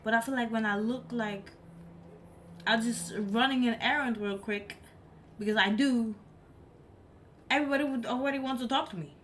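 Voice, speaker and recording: A woman's voice: fairly high pitch, moderate pace, pauses often, a bit bright, a little hiss or hum. A woman chatting casually on a live stream, speaking English as her third language and stressing her points by repeating them. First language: not English. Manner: emphatic, repeats herself for effect. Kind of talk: casual chat.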